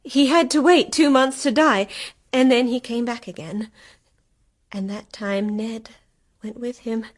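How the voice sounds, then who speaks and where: Stricken wife, radio drama, slow pace, higher-pitched, hushed, intense. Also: female voice, steady tone. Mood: hushed dread. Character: anxious, stricken wife uncovering a ghostly truth.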